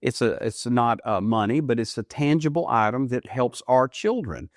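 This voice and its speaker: A man in his fifties from the United States, answering interview questions earnestly, often rising in pitch for emphasis. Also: animated, normal speed, no room sound, clean studio sound. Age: 50s. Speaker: guest, ministry leader. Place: studio.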